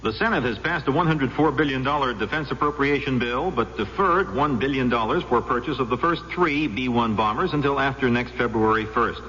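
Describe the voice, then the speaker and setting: A man's voice: mid-range voice, fairly quick, few pauses, bright, forceful tone. A man reading a scripted radio news bulletin in a formal, matter-of-fact manner. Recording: reverberant muffled vintage radio, faint noise.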